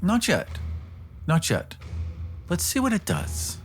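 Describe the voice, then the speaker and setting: A man's voice: high-pitched, huge pitch swings, slow pace, faint music behind. A man talking casually as a player at a tabletop roleplaying game, describing what his character does.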